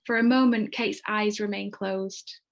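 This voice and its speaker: A woman's voice: medium pitch, fairly even intonation, unhurried, vocal fry. A woman reading stage directions as the narrator of a screenplay, in scripted narration.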